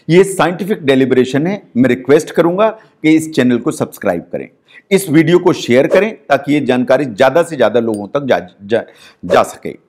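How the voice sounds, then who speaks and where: A man's voice: mid-range voice, darkish tone. A middle-aged Indian man, a doctor, giving a health explainer lecture and mixing English terms into Hindi as he goes through causes step by step.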